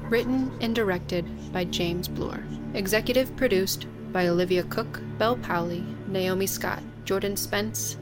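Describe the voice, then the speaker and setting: A woman announcer giving a scripted, orderly read of show credits. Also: medium pitch. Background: music.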